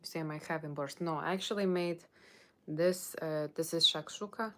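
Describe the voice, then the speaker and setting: Low-voiced woman with Russian accent. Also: normal speed. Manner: rambling, informal, often checking with "okay". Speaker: tarot reader hosting a livestream. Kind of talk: casual livestream chat to viewers.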